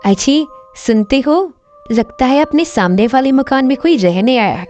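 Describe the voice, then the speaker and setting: A woman's voice: sits high, animated, moderate pace, darkish tone, faint music behind. A woman playing a village wife in a cartoon story, on a slightly dull recording. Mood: curious. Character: wife calling her husband's attention. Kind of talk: Hindi cartoon story voice acting.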